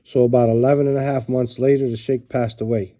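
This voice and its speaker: Man, American accent, middle-aged, very dark mellow tone, rambling casual talk with fillers. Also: mid-range voice, fast talker. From United States. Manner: relaxed, rambling, with many fillers and restarts. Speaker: book reviewer. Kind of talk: casual book show-and-tell.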